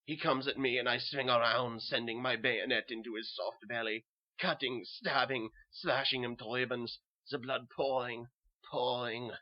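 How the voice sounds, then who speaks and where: Through a dull, lo-fi recording comes a dazed German soldier turned butcher's helper , acted by a man. He has a middling pitch and a put-on German accent. He tells his horror story in a halting, haunted, brooding way, repeating his words. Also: fairly even intonation, close to an everyday voice. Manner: halting, repetitive, brooding first-person delivery. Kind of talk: dramatic horror story reading.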